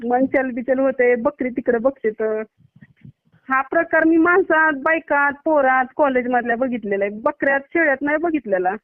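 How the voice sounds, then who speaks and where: Female doctor, Maharashtrian accent, high voice, blunt and scolding, heard over a thin phone line. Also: moderate pace. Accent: Maharashtrian Marathi. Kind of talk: casual phone conversation. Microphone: phone call. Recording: tinny narrowband phone line.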